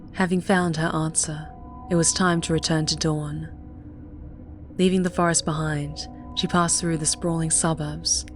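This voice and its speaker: A woman reads scripted fiction as an audiobook narrator. Her voice sits low, and her delivery is measured and dramatic. Faint music plays behind her.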